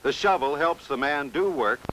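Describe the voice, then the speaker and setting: A man's voice: fairly high pitch, expressive, normal speed, rough recording. A man narrating an instructional film from a script, on an old soundtrack. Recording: vintage 16mm film soundtrack. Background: music.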